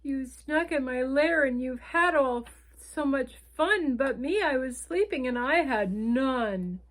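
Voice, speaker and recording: A woman voicing a big grumpy bear in a storybook, loudly complaining that he was left out. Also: high voice, animated, takes it slowly, voice pushed up, rough recording. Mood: sulky. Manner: loud, complaining. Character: big grumpy bear, sulky and self-pitying.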